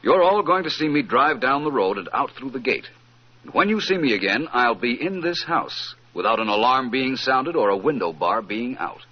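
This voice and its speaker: A man playing a confident, witty gentleman detective in a 1940s-style radio mystery, assured and explanatory. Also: medium pitch, animated, moderate pace, breathy edge. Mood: boastful. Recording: dull, muffled old radio recording.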